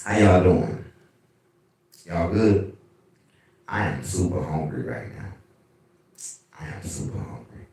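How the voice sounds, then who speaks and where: A man with a deep bass voice hosting a casual livestream, telling animated, profane stories. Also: slow, gravelly, long pauses, recorded from a distance. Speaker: livestream host. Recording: very echoey room, away from mic.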